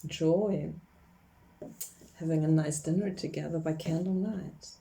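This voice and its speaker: A woman's voice: low-pitched, fairly even intonation, measured pace, gravelly, mellow, a little hiss or hum, rough recording. A woman from Poland, chatting casually through a tarot card reading, laughing often and searching for English words. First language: Polish. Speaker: tarot reader.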